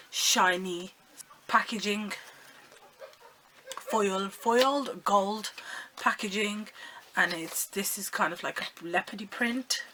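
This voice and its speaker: A young woman, hoarse and croaky from a sore throat, chatting excitedly to her viewers while unboxing makeup. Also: mid-range voice, slight background noise. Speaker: beauty vlogger. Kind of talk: casual makeup unboxing vlog.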